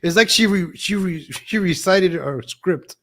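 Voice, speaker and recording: A man's voice: high-pitched, unhurried, a bit bright. A man hosting a live stream of political gossip commentary, mocking and sarcastic, repeating phrases for emphasis.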